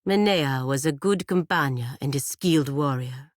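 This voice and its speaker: A woman's voice: rumbling low, moderate pace, no echo, studio quality. A woman playing a proud, stern godlike warrior in a video game, speaking formally and bluntly with haughty disdain. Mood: cool, measured. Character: proud, stern godlike female warrior serving her republic. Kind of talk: scripted video game character dialogue.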